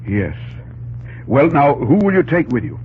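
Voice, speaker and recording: An actor playing a visionary, wistful president in an old-time radio drama, musing and stately. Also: male voice, medium pitch, very animated, unhurried. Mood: considering. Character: visionary, wistful middle-aged American president. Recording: muffled, hissy old radio recording.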